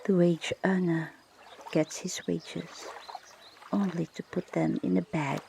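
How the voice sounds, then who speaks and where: Female ASMR prayer host: breathy whisper with gravelly creak, very slow deliberate delivery, light water ambience underneath. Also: on the low side, level tone, warm tone, a little hiss or hum. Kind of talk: whispered ASMR guided prayer and scripture reading. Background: ocean water ambience.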